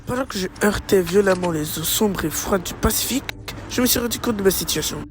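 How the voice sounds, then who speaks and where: A man playing a cheeky high-voiced hedgehog. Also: a little fast, a little hiss or hum, natural voice. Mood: frantic. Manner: chatty, excitable, wisecracking. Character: cheeky fast young blue hedgehog hero. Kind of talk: fan dub acting. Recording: music playing behind.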